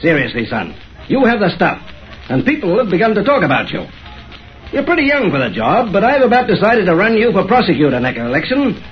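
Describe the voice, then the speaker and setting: A man's voice: sits high, sing-song, brisk, faint music behind. A man playing a genial, shrewd older political boss in a vintage radio drama, fatherly and folksy. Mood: jovial. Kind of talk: 1930s radio acting. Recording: muffled old radio recording.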